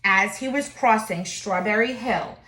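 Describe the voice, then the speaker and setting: A woman teacher reading a children's picture book aloud, with expressive, deliberate phrasing and emphasis. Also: mid-range voice, roomy sound, low-quality recording.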